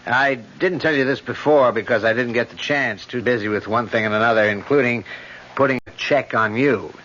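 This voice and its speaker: Man acting brisk, forceful police lieutenant. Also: mid-range voice, natural voice. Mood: brisk, puzzled. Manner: matter-of-fact, clipped. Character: brisk male police lieutenant, gruffly friendly. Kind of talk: old-time radio mystery acting. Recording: dull lo-fi old radio recording.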